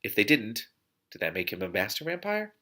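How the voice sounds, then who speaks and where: Animated bright-voiced man reading aloud. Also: on the low side, fairly quick. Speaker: host reading a novel aloud with live reactions. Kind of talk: expressive book reading with excited asides.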